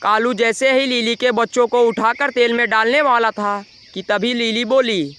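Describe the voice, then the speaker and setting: A man's voice: very high-pitched, steady tone, moderate pace, sharp tone, slight background noise. A man narrating a scripted children's moral story as storyteller.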